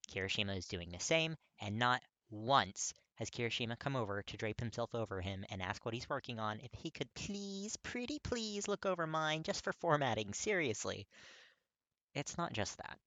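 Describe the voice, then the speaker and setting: Fiction narrator, expressive reading, emphatic phrasing, medium pitch, very dry sound. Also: moderate pace, no echo. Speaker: podfic narrator.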